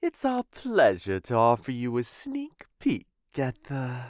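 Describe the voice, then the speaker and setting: A man playing an obsequious, sly auction-house servant in an audio roleplay, creepy and deferential, with a halting, fawning delivery. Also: mid-range voice, huge pitch swings, darkish tone, no room sound, clean studio sound. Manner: fawning, halting, very slow, chuckling.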